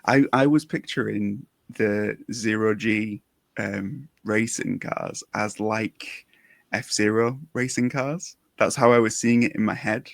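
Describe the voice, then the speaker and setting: Low-ish male podcast host, relaxed and thoughtful, dead dry sound. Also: takes it slowly, gravelly, no room sound. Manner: relaxed, reflective, talkative. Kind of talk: casual podcast conversation.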